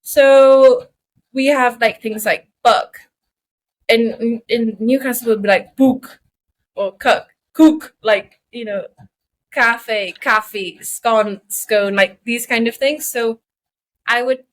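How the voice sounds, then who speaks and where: A woman's voice: on the high side, fairly even intonation, slow. Chatty woman with a mixed Geordie accent. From northeast England. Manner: rambling, self-deprecating, chatty. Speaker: podcast co-host. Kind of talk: casual podcast chat.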